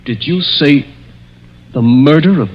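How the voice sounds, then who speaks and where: A man's voice: fairly high pitch, measured pace, dull audio, background noise, lightly acted. An actor playing a hunted, fatalistic young man in an earnest, dramatic 1940s radio mystery style. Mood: despairing. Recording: vintage 1940s radio recording.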